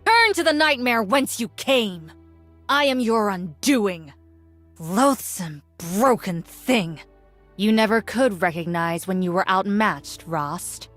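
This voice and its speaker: A haughty regal ancient champion lady, slow formal game lines, bit of music behind. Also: mid-range voice, animated, fairly bright tone, dry recording. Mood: cold contempt. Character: stern, regal ancient female champion, disdainful and wrathful.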